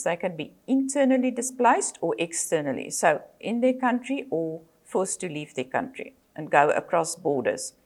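Older South African woman lecturing. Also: on the low side. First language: Afrikaans. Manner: measured, with frequent fillers and self-corrections. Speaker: psychologist and lecturer. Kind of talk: presentation lecture.

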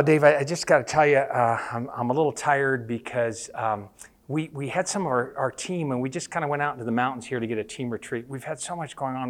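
A man's voice: a little high, close to an everyday voice. A man voicing a weary male missionary who talks in a rambling, apologetic way.

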